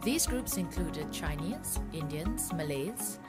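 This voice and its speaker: A woman's voice: low-pitched, animated, takes it slowly. A woman reads scripted questions and their answers as a quiz narrator. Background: loud music.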